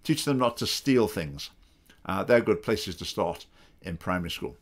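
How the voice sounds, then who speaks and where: A man's voice: low-pitched, lively intonation, normal speed. A middle-aged man in his fifties giving opinionated, sarcastic political commentary to a webcam from his home study. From England. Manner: opinionated, sarcastic, mocking quoted voices. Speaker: political party spokesman. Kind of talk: commentary to camera. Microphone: webcam video call framing.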